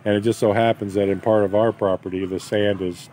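A middle-aged or older man with a fairly low voice chats casually. He rambles along in a folksy, storytelling way. The recording is made outdoors with some ambient noise behind him. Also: flat delivery, a little fast, dark tone. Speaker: vlogger, expatriate farmer. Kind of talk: casual chat. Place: outdoors at a waterside building site.

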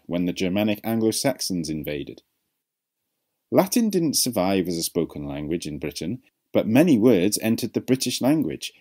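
A man narrates an educational video in a low voice. His intonation moves up and down in a lively way as he reads a prepared script, and the tone is on the mellow side.

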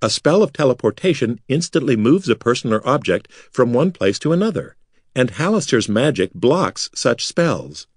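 A man voicing a smug, sharp-tongued female wizard who explains things in a dry, lecturing way. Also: medium pitch, very animated, moderate pace, breathy edge, dry recording, voice pushed up. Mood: explaining. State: rasping after being choked.

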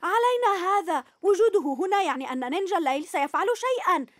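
A woman's voice: extremely high pitch, animated, quick pace, no room sound. A woman voicing a six-year-old boy superhero who leads his team in a dubbed cartoon, sounding bossy and urgent. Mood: determined. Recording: studio voice with loud cartoon music.

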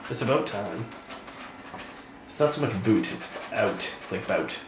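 A man's voice: lowish voice, expressive, measured pace. A Canadian man from northwestern Ontario talking casually through a crafting tutorial as he paints a model, chatty and rambling. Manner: rambling, chatty, talks while working. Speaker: miniature crafting host. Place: indoor hobby workbench. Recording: roomy, distant mic with loud background noise.

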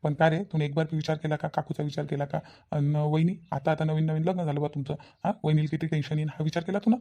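A man's voice: on the high side, flat delivery, fast, dark tone, lo-fi sound, natural voice. A man voicing a principled young friend in a village cartoon, scolding insistently with repeated questions in a Varhadi Marathi accent. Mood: reproachful. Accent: Varhadi Marathi (Vidarbha). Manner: reproachful, repetitive, insistent questioning.

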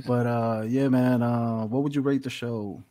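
A man's voice: mid-range voice, steady tone, slow pace, mellow, no room sound. An American man in his thirties co-hosting a casual podcast, talking in an emphatic, opinionated, slangy way. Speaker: podcast co-host. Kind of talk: casual wrestling review chat. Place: small room at home, on a video call. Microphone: desktop condenser microphone, close.

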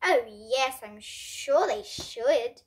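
A young girl voicing a calm, knowing mother in a children's storybook, assured, agreeable and reassuring. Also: a little high, very slow, breathy edge, heavily acted.

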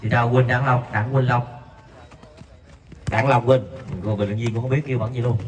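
A man's voice: steady tone, moderate pace, recorded from a distance. A man commentating a local night football match, reading viewer messages and rising sharply on shots. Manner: reads viewer messages between plays, jumps sharply higher on shots. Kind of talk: live amateur football commentary. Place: outdoor floodlit five-a-side pitch at night.

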